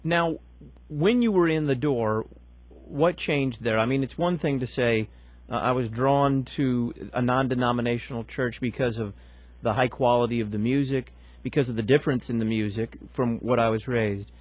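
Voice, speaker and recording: A man's voice: medium pitch, normal speed, dry recording, highs cut off. A male host interviewing a guest, asking probing questions and pressing for more detail.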